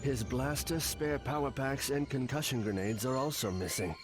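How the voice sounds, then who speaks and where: An actor playing a brisk imperial soldier in an audio comic, terse and military. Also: male voice, medium pitch, music in the background, natural voice.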